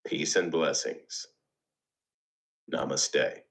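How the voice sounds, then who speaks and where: A man reads a guided meditation in a very deep voice with a bright, forceful edge. He moves extremely slowly, setting down short phrases with deliberate pauses. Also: steady tone. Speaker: meditation reader.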